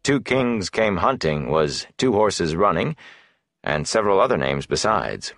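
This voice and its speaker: A man narrating a fantasy audiobook, telling the story in a measured, deliberate, dramatic way. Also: sits low, very animated, gravelly, a bit bright, no echo, studio quality.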